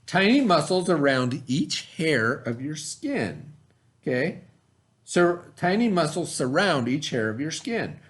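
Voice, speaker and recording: A middle-aged man reading a lesson aloud in an instructional way, pausing to explain and repeat key terms. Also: fairly high pitch, very animated. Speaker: teacher reading aloud to children.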